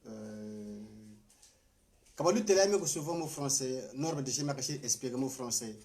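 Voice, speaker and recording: A man with a Congolese accent giving a political address in a halting, deliberate manner. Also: medium pitch, darkish tone. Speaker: political speaker.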